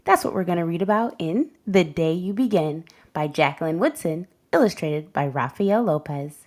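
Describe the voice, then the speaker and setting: A woman reading a children's picture book aloud as a storyteller, with gentle, deliberate phrasing for young listeners. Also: on the low side, expressive. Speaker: read-aloud host and storyteller.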